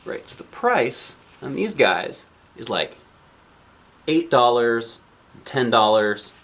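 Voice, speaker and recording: Animated man reading a picture book aloud. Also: mid-range voice. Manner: asks the listener questions, pausing to explain pictures. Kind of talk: read-aloud storytelling with conversational asides.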